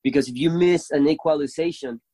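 A man with a Venezuelan accent, a native Spanish speaker, chatting casually as a guest and telling stories excitedly, swearing freely. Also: a little high, a little fast, no echo. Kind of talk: casual chat.

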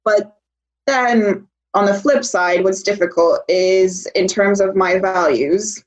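A woman's voice: mid-range voice, slow pace. Young woman, from Poland, casual chat, reflective, fillers. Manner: reflective, uses "like" often. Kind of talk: casual conversation.

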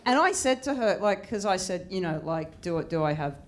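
Lively, rambling self-mocking woman from Australia. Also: fairly low pitch, moderate pace. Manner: self-deprecating, laughing, rambling with restarts. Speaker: comedian guest. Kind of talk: casual podcast interview chat. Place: airport lounge.

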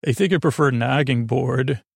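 A man hosting a bedtime podcast, rambling in a halting, tangential way with trailing unfinished sentences and a gravelly voice. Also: mid-range voice, dry recording, clean studio sound. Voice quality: creaky, gravelly. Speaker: podcast host. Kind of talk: rambling bedtime monologue to help listeners fall asleep.

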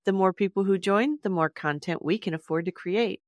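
A woman's voice: mid-range voice, a little fast, darkish tone, dry recording. A woman podcast host giving a scripted announcement, speaking directly to listeners.

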